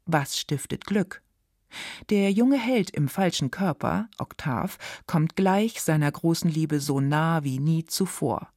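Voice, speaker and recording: A woman's voice: low-pitched, animated, takes it slowly, darkish tone, no room sound, clean studio sound. A woman radio presenter giving a scripted, dramatic introduction to a literary reading.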